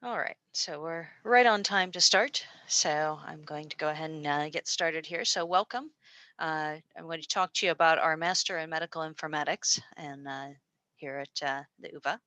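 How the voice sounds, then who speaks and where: A woman's voice: sits low, normal speed, sharp tone, no room sound. An American woman presenting a university program over a video call, chatty and informal with frequent fillers and restarts. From United States. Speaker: presenter and postdoctoral researcher. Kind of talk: online information session presentation. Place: small room at a university.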